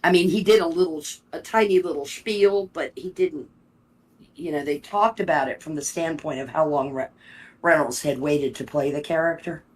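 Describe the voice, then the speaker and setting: A woman's voice: low voice, normal speed, poor audio. A woman co-hosting a casual livestream, telling a story chattily with false starts. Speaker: co-host.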